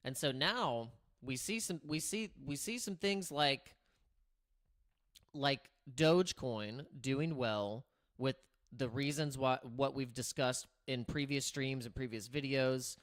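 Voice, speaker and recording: A man with a naturally raspy voice hosting a casual livestream, talking loosely with lots of restarts and filler words into a streaming microphone. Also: mid-range voice, takes it slowly, a bit bright. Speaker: crypto livestream host. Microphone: streaming microphone through streaming software.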